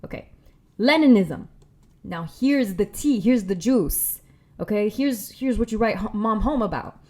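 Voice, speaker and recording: A young woman talking through a casual commentary video essay in a chatty, slangy way with animated asides. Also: medium pitch, unhurried.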